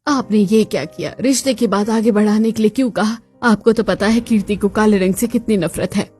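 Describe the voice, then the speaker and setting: A woman plays the women of a cartoon family drama, a young daughter and her chatty relatives. The delivery is expressive and dramatic, at an average pitch, with music running underneath. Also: brisk, slightly rough, natural voice. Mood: worried, gossipy. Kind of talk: Hindi cartoon story dialogue. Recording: music bed behind the voice.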